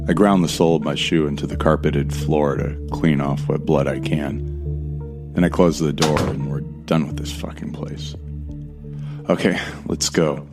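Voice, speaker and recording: A bitter, haunted narrator in a horror audio drama. His voice is extremely low and stays fairly flat, brooding over every detail with terse, profane tension. Music plays clearly behind him. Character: tense, bitter young man narrating his horror ordeal.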